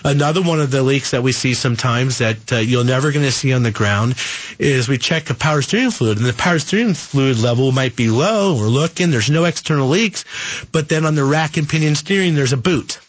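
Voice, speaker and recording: A man hosting a call-in car repair radio show, talking casually through a rough, coughing voice as he explains leaks to listeners. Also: mid-range voice, a little fast. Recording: dull radio broadcast sound.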